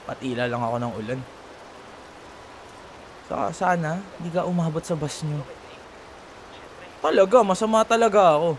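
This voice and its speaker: A man's voice: a little high, normal speed, long pauses, lightly acted, low-quality recording. A young man with a Filipino accent acting a sarcastic, teasing college rival in a playful, bickering audio roleplay. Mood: smug, grumbling. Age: young adult. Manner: taunting, playful, colloquial banter. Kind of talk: ASMR boyfriend roleplay, one-sided dialogue acting. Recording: steady rain noise behind voice. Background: falling rain.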